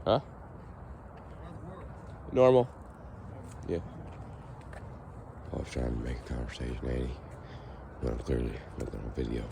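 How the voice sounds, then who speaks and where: Very deep, gravelly, hoarse young man. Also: very animated, a little slow, long pauses. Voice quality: raspy. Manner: rambling, musing to camera. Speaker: vlogger. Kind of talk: casual walking vlog. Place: outdoors, walking on a foggy street. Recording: noisy outdoor recording with passing traffic.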